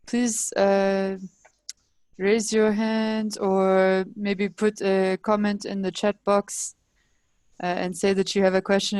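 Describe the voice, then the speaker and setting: A woman moderates in a flat, even tone and at a very slow pace. Her voice is recorded close and dry as she gives practical instructions. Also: mid-range voice, dry recording. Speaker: webinar moderator.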